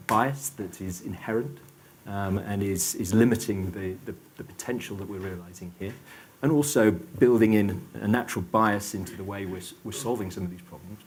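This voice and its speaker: Low-voiced hesitant male panelist. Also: darkish tone, slightly rough. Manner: hesitant, many fillers and restarts. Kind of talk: panel discussion.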